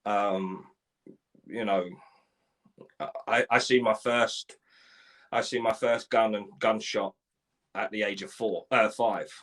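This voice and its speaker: A man in his forties from Ireland, telling his life story in a live interview, halting, with many restarts and repeated words. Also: fairly low pitch, steady tone, fairly bright tone. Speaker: guest.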